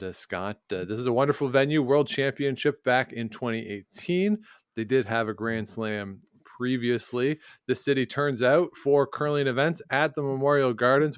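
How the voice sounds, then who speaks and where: A man's voice: fairly low pitch, clear, resonant tone, no echo, clean studio sound. A man co-hosting a sports podcast, chatting casually and rambling, often correcting himself mid-sentence. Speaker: podcast co-host.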